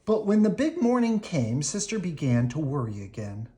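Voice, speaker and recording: Deep-voiced woman reading a children's story. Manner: animated, unhurried storytelling for young children. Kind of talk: expressive read-aloud storytelling.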